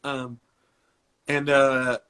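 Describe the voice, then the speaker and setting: A man's voice: mid-range voice, little pitch movement, very slow, a bit bright, clean tone. A man talking as a guest in a casual interview, joking and gossipy, with many fillers and restarts. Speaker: guest performer.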